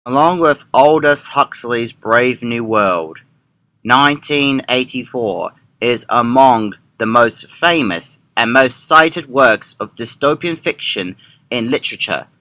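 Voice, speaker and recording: A man's voice: medium pitch, lively intonation, slow, sharp tone. A man reading scripted narration in the manner of a political commentary. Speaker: narrator and presenter of an upload.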